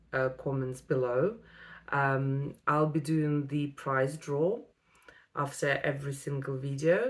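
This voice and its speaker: A woman, an English speaker, telling a personal story to camera with many ums and pauses. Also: low-pitched. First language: Russian. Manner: unhurried, many ums and pauses, non-native phrasing. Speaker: vlogger.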